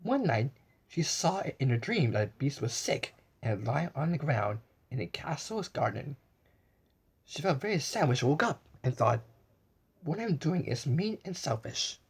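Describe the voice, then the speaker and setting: A man reads a storybook aloud with lots of animation, his pitch bouncing high and low. His voice is a little husky, and he gasps, stumbles and repeats words as he chimes in with his own asides. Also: big pitch swings, normal speed.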